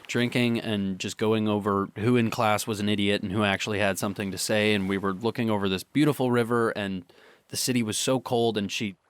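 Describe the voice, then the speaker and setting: A man's voice: on the low side, fairly bright tone, no echo, natural voice. An actor playing a remorseful young male student in a radio drama, confessing hesitantly with stammers and restarts. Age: young adult.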